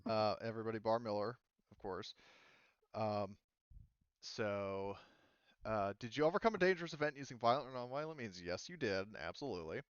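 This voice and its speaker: Smooth-voiced man running a tabletop game. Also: fairly low pitch, a little fast, no echo, muffled recording. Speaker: game master. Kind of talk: casual chat, running a tabletop game session.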